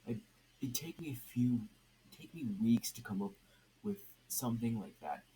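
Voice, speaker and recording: A man voicing a gruff, terse, brooding vigilante hero in an amateur story reading. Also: medium pitch, a little slow, mellow, lightly acted. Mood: grim. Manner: gruff, clipped. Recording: noisy amateur home recording.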